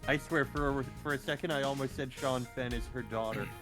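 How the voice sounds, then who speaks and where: A man's voice: medium pitch, steady tone, brisk. A man chatting casually and jokingly with friends during a group game dub. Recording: music behind the voice.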